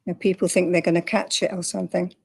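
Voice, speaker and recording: An older woman from England, calmly and plainly sharing her story as an interview guest. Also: lowish voice, fast talker, gravelly, husky. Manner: calm, matter-of-fact, with light laughs. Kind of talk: candid personal interview.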